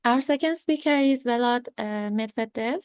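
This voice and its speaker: A woman's voice: a little high, moderate pace, clear, resonant tone, no echo, clean studio sound. Young woman moderating a video seminar. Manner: hesitant, many fillers. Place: home room. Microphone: video call.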